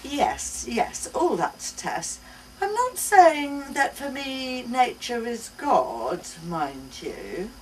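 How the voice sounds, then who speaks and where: A woman's voice: a little high, sing-song, very slow, pitched above the performer's own voice, full character voice. Affectionate mother played by a woman narrator in a live story reading, searching for words with emphasis, miked from afar. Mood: insistent, affectionate. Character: earnest, affectionate mother explaining to her small daughter. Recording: distant mic, noisy live bookshop event.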